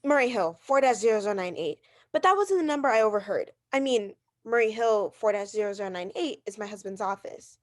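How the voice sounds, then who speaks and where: A woman's voice: medium pitch, animated, fairly quick, hardly any pauses, natural voice. A woman playing a neurotic, imperious, anxious bedridden wife in a radio drama, insistent and fretful on the phone. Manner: insistent, fretful, stammering when challenged. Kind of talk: 1940s radio drama acting.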